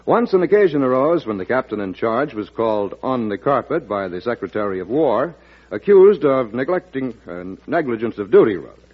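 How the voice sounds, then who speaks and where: A man's voice: medium pitch, very animated, fairly quick, slightly rough. A man announcing and telling a story in an emphatic, polished old-time radio style. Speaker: announcer and quiz host. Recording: muffled vintage radio broadcast.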